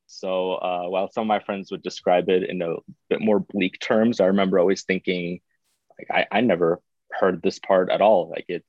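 A man, talking as an interview guest in an unhurried way with frequent filler sounds. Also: deep voice, no room sound. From born in Baku, raised in the United States. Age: 30s. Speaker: podcast guest. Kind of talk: casual interview. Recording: dull, dry sound.

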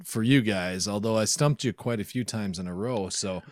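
A man's voice: fairly low pitch, normal speed, dry recording, clean studio sound. A man co-hosting a podcast, chatting casually with the others. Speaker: podcast co-host.

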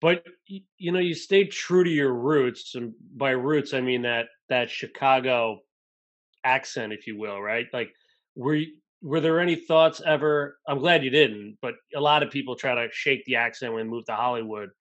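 A man's voice: mid-range voice, normal speed, fairly bright tone. A man interviewing a guest, leading into each question with a long setup. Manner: sets up questions with long lead-ins. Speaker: interviewer host.

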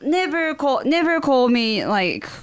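A Japanese woman co-hosting a casual chat in English with a Japanese accent, speaking haltingly and often saying "you know". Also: sits high, big pitch swings. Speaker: co-host.

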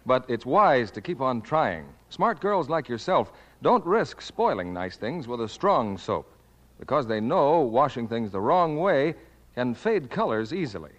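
A man's voice: medium pitch, very animated, measured pace, a bit bright, in a large hall, dull audio. A man working as a commercial announcer, delivering a polished, persuasive 1940s radio advertisement.

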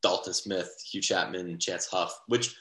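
A man's voice: low-pitched, fairly even intonation, measured pace, a bit bright, reverberant. A young man co-hosting a sports podcast in casual, rambling chat, full of fillers and restarts. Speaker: podcast co-host.

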